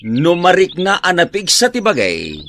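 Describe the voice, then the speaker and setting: A man's voice: high-pitched, wildly swooping pitch, normal speed, a bit bright, full character voice. A man acting as a carefree, joking, hard-drinking husband in a comic radio drama, with an Ilocano accent. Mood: teasing.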